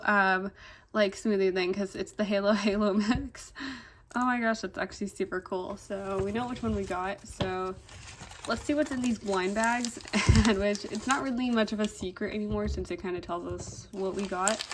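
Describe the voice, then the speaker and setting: A woman's voice: mid-range voice, moderate pace, background noise. A young woman vlogging a toy unboxing in a casual, chatty way, full of "like" and "um", sounding a little congested. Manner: chatty, fillers "like" and "um", easily delighted. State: slightly congested.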